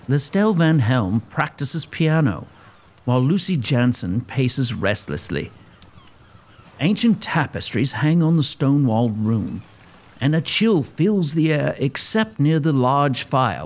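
A man giving dramatic scripted narration as the storyteller of an audio drama. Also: medium pitch.

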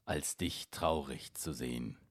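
A mournful, lovelorn beast, put on by a man reading a fairy tale, rumbling very low and slow. Every line drags with heavy sorrow, and the dry, close sound keeps him right at the ear. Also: no echo. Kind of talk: storybook reading.

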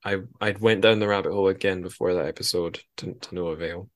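Male podcast host, low register, chatty and self-deprecating, loosely rambling delivery. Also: fairly even intonation, quick pace. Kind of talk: casual conversational interview.

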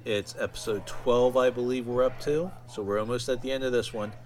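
A man talking casually and informally as a solo podcast host, in a halting, rambling way. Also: mid-range voice, fairly even intonation, a little mellow.

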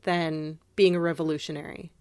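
A woman's voice: sits low, level tone, normal speed, a little mellow, clean tone, dry recording, clean studio sound. A woman co-hosting a podcast in casual analytical discussion, emphatic and reflective, sprinkling in "like" as she thinks aloud. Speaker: podcast co-host.

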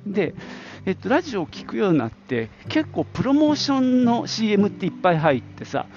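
A man's voice: sits high, big pitch swings, fairly quick, a little mellow, highs cut off, faint music behind. A middle-aged man from the Nagoya area of Japan, talking casually on his own as a podcast host, in an informal, rambling way with little asides. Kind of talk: casual solo reminiscing.

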